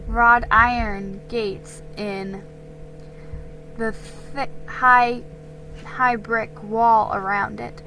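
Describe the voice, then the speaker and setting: Woman, dramatic storybook narration, bright forceful voice, slow halting delivery, faint music. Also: fairly high pitch, low-quality recording. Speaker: amateur story reader.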